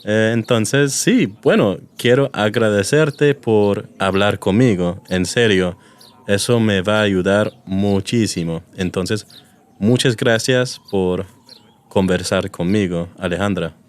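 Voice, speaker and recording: A man with a London accent, hosting a Spanish lesson and speaking clearly, at a teaching pace. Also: fairly low pitch. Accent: London English. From England. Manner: friendly, clear, teaching pace with repeat-after-me pauses. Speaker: language course host. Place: outdoors in a public garden.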